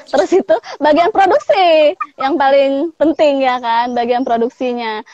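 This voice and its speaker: High-pitched woman, palembang-born, chatty biz owner on a dry muffled video call. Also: measured pace, a little mellow, no echo. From Palembang, Indonesia. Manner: chatty, frequent laughs, tag questions. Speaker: pempek business owner, guest. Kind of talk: casual interview over a call.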